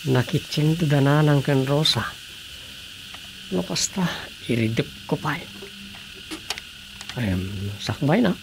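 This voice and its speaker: A man's voice: on the high side, animated, takes it slowly, creaky, long pauses, background noise, heavily acted. An actor with an Ilocano accent playing a preoccupied man muttering to himself in a radio drama.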